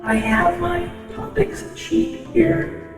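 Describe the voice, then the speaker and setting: A man's voice: extremely high pitch, completely flat delivery, slow pace, reverberant, long reverb tail, far from the mic, over music. A male vlogger chatting casually, his voice run through a blocky voice changer filter. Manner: rambling, chatty. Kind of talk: casual chat.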